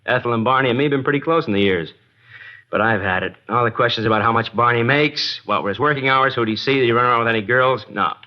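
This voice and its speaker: Wary, fast-talking garage owner played by a man. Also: medium pitch, natural voice. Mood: testy, defensive. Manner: wary, grudging, colloquial. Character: guarded working-class male garage owner, reluctant and testy. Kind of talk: old-time radio drama acting, naturalistic. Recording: dull vintage radio broadcast.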